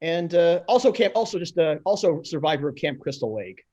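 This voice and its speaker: A man with a somewhat high voice talks casually as a panel guest. His delivery is halting, with repeated and restarted phrases. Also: sing-song, dark tone, lo-fi sound. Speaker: panelist, indie film producer and reader. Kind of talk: casual chat.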